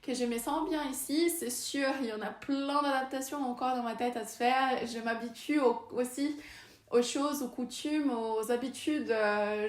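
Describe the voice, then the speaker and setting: A young woman with a Brazilian Portuguese accent, a native Portuguese speaker, talking casually to camera in French, hesitant, with many fillers and restarts. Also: fairly high pitch. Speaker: vlogger. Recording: echoey room.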